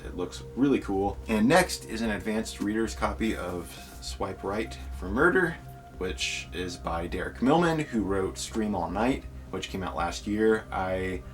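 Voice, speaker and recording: A young man with a congested, slightly nasal voice, chatting enthusiastically in a casual book vlog. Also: low-pitched. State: congested, stuffy nose. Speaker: book vlogger.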